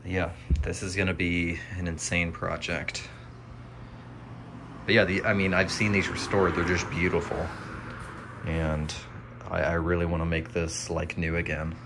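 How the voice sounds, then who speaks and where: Low-voiced American man thinking aloud. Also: little pitch movement, measured pace. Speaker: hobbyist radio restorer presenting a video. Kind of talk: casual unscripted workbench narration. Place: small indoor home workshop. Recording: faint room noise.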